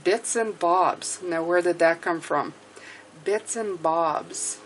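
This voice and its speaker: A woman's voice: on the low side, slow pace, slight background noise, poor audio. Chatty French-accented woman reading tarot. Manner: chatty, reassuring, often ends with "okay?". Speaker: tarot reader. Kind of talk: casual tarot reading to camera.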